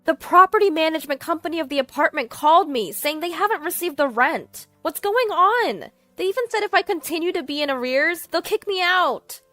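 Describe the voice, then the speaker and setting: Woman narrator playing a snide younger sister, mocking, bossy, high pitched, lively, storybook reading. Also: a little fast, hardly any pauses, no room sound, faint music behind, heavily acted. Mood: panicked. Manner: mocking and bossy, shrill when upset.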